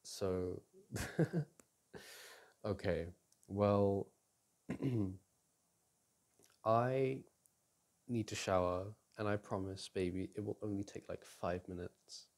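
A young man in an intimate roleplay as a boyfriend, talking softly and teasingly to his partner, often sighing and chuckling. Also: on the low side, steady tone, takes it slowly, long pauses. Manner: soft and teasing, with frequent sighs and chuckles. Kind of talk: intimate ASMR roleplay, speaking to an unseen partner. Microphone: close microphone.

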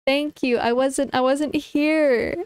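A woman's voice: high voice, fairly even intonation, slow pace, a little mellow, no echo. A young woman streaming, chatting casually and greeting viewers one by one, her voice stuffy and congested from a blocked nose, tripping over her words now and then.

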